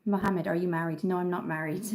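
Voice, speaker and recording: A woman's voice: lowish voice, steady tone, normal speed, darkish tone. A woman from Dublin chatting casually on a beauty livestream, upbeat and full of ums as she answers viewer comments. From Ireland, Dublin. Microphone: phone camera.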